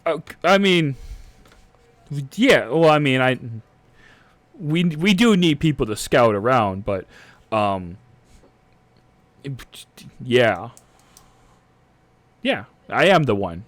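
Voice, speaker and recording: A man's voice: mid-range voice, lively intonation, slow pace, pauses often, a bit bright, dry recording. A man playing a nervous, unsure new militia captain in a tabletop game, stammering and hesitant. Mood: flustered, apologetic. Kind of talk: improvised in-character roleplay.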